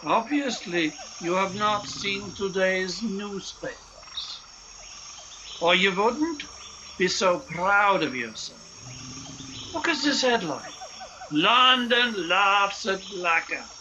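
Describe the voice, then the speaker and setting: A man playing a sinister, coldly sardonic saboteur boss in a radio play, speaking with deliberate condescension and menace. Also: sits high, animated. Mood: scornful. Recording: streamed recording with faint noise.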